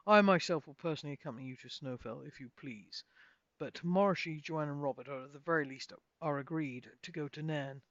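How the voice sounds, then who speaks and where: A woman voicing a calm male magic user in a storybook, formal, deliberate and quietly in charge. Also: deep voice, dry recording, dull audio. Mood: measured, authoritative. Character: calm, measured male magic user, softly authoritative leader.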